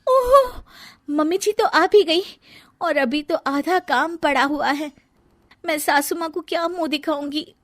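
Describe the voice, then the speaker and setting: A woman's voice: high voice, unhurried, a bit bright, dry recording, voice pushed up. A woman voicing a young, dutiful but easily flustered bride who is secretly a serpent, in a cartoon story. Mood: panicked. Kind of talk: Hindi cartoon story dialogue.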